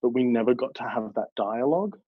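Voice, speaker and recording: A man's voice: mid-range voice, lively intonation, a little fast, husky, dry recording, narrow, tinny audio. A young Australian man talking about his life as a drag performer in an earnest, reflective way, with many fillers. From Melbourne, Australia. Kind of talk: casual podcast interview.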